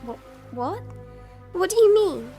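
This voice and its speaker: A woman's voice: very high-pitched, big pitch swings, slow pace, mellow, exaggerated voice. A woman playing a petulant little Victorian girl in a gothic audio drama. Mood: puzzled. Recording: music clearly playing behind voice.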